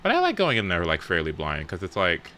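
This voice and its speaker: Low-pitched, bright-voiced young man streaming. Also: wildly swooping pitch, fairly quick, a little hiss or hum. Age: young adult. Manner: informal, sweary, rambling. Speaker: live streamer. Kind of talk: casual livestream chat while playing a game.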